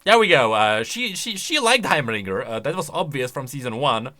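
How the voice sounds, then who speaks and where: A man's voice: mid-range voice, sing-song, normal speed, pushed and bright, faint music behind. A man giving casual, chatty commentary on video game lore, thinking aloud with emphatic delivery.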